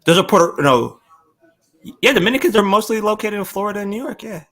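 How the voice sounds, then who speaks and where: A man with a slightly high, bright and forceful voice in a casual panel chat. He repeats words as he thinks back. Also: lively intonation, fast talker. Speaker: podcast panelist.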